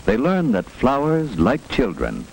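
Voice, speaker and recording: Male narrator, lowish and animated, teaching children simply, 1940s school film with faint noise. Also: measured pace, creaky. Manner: instructive, simple phrasing for children. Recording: noisy 1940s film soundtrack with hiss.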